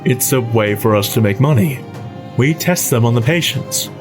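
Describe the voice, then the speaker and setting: Guy playing creepy cold asylum doctor, commanding, horror audio drama, animated delivery, loud music behind. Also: mid-range voice, normal speed, voice pushed up, natural voice. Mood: cold, sly. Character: cold, greedy male asylum doctor running experiments.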